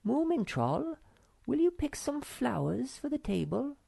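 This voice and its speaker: A woman reading aloud and voicing a vain, sweet young female creature with a high, breezy manner. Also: sing-song, a little slow, vocal fry, mellow, dry recording, heavily acted. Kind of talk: audiobook character voice.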